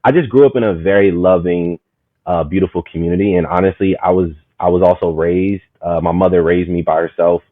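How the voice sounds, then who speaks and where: A man in his thirties from Maryland, a guest telling his story in a lively, rambling way, full of "you know what I'm saying". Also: deep voice, brisk, dry recording. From Maryland, USA. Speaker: guest, community market founder. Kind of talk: casual storytelling conversation. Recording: tinny, phone-like, dry.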